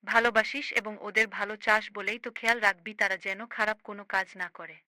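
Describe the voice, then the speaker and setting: A woman's voice: mid-range voice, steady tone, fairly quick, pushed and bright, no room sound, natural voice. A woman playing a firm, caring older sister in a cartoon, lecturing her grown brother in an emphatic, preachy way. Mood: earnest, advising. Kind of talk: amateur cartoon voice acting.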